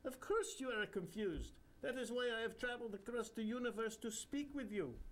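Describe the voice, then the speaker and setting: A man voicing a gleeful, giggling old male guru spirit with a highly nasal Indian accent and a bubbly, amused manner. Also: moderate pace, fairly bright tone. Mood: scolding gleefully.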